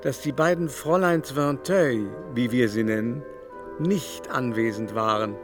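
An actor playing a teasing, gossipy society gentleman in a literary radio drama, with an arch, mannered, exclaiming delivery. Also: mid-range voice, a little slow, music in the background, close to an everyday voice. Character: teasing, gossipy, affected male society dandy.

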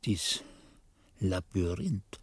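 An actor playing a reflective old monk who recalls his youth, musing and halting as if talking to himself, husky-voiced, in a radio drama. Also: low voice, lively intonation, no room sound, exaggerated voice. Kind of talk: 1980s German radio drama narration.

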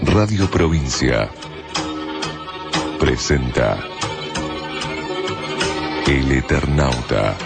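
A man's voice: rumbling low, expressive, extremely slow, creaky, pauses often, over loud music. A man working as a station announcer, delivering a dramatic promotional introduction.

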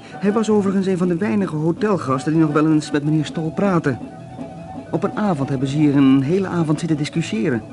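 An actor playing a deferential hotel employee who gossips politely about the guests in a Dutch radio drama. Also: male voice, fairly high pitch, brisk, music in the background, close to an everyday voice. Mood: confiding.

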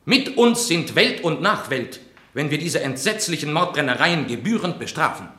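Forceful, swooping court councillor. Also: male voice, on the high side, sing-song, moderate pace. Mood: stern, persuasive. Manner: emphatic, oratorical. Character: stern, rhetorical court councillor arguing for punishment. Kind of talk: German radio play acting. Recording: echoey chamber.

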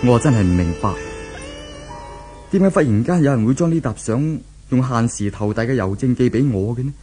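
An actor playing a brooding, distraught young office worker in a 1970s Cantonese radio drama, with a husky voice and a Hong Kong accent. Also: male voice, mid-range voice, lively intonation, fairly quick, dark tone, soft background music, natural voice. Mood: anguished disbelief. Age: young adult.

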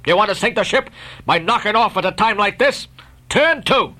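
Male reader playing a hard ship captain bellowing orders, high and bright, storybook reading. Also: expressive, normal speed, heavily acted. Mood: roaring orders. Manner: roaring commands, drawn out. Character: stern shouting ship captain, man, harsh.

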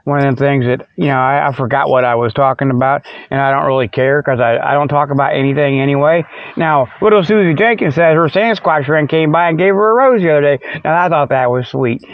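A man's voice: a little high, a little fast, dry recording. A middle-aged American man hosting a podcast, delivering a folksy, colloquial, rambling comedic monologue as casual chat. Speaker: podcast host. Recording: slightly dull lo-fi, dry sound.

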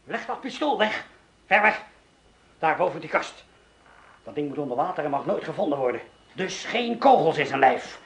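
A gruff coarse villager. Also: male voice, a little high, very animated, normal speed, long pauses. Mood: urgent. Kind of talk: Dutch radio drama acting.

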